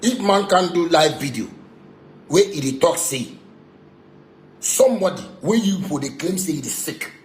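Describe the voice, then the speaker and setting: A man's voice: on the high side, expressive, normal speed, pauses often. A man with a Ghanaian accent delivering an angry, confrontational rant in Pidgin and broken English, repeating himself and throwing insults. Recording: echoey room with background noise.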